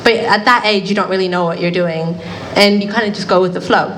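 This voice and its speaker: A woman's voice: medium pitch, fairly even intonation, brisk, a bit bright, rough recording. A young woman from Finland telling a personal story on a panel, hesitant, with many fillers. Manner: hesitant, many likes and ums.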